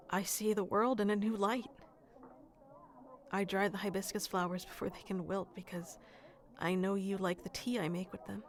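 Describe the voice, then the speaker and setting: Shy florist in love with a best friend, played by a woman, mid-pitched, unhurried, hesitant and tender, for ASMR roleplay. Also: a little hiss or hum, lightly acted. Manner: hesitant, halting, nervous stammering. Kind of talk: ASMR romantic roleplay acting.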